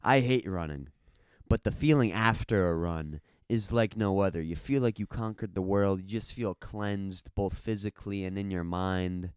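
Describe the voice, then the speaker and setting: A man's voice: sits low, moderate pace, hardly any pauses, smooth, no echo. A young man co-hosting a podcast in casual chat, with dry, teasing humor. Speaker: podcast co-host.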